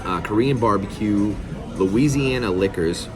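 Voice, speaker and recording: A man from Florida hosting a casual vlog, excitable and slangy, calling everyone bro. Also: on the low side, a little slow, over music. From Florida, USA. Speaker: vlogger host.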